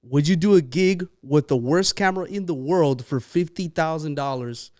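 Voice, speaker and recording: A man's voice: a little high, moderate pace, darkish tone. A man hosting a podcast interview in a studio. He talks in an upbeat, chatty way and often echoes his guest's answers back. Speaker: podcast host. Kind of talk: casual interview chat.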